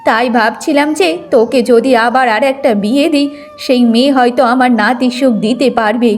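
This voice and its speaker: A woman's voice: sits high, fairly even intonation, a little fast, a little hiss or hum, natural voice. A woman voicing a bitter, domineering old village mother-in-law in a cartoon moral story. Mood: coaxing, scheming. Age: older.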